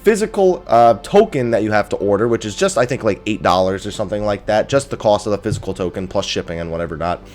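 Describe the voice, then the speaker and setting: A man with a stuffy, nasal voice rattles through a casual gaming news update, his pitch swinging up and down as he jokes at himself. Faint music plays quietly behind him. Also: quick pace, hardly any pauses. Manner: chatty, lively, self-amused asides. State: stuffy, nasal from just waking.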